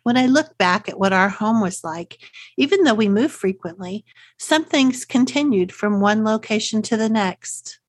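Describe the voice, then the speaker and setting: A woman's voice: medium pitch, animated, normal speed, no room sound. A middle-aged woman with a Texas accent hosts a solo podcast, talking in a friendly, chatty way and often asking rhetorical questions. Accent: Texan. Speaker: podcast host. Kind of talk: scripted solo podcast talk.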